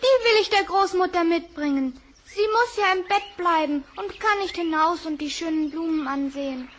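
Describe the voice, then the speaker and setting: An actress playing a cheerful, innocent little girl in an old record radio play, eager and bright. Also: extremely high pitch, normal speed, a little hiss or hum. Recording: vinyl record with music.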